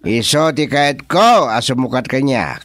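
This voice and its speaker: A man with an Ilocano accent playing an authoritative middle-aged village chairman in a radio comedy-drama, speaking in a deliberate, speechifying way. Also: medium pitch, animated, a crowd in the background, close to an everyday voice. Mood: measured, authoritative.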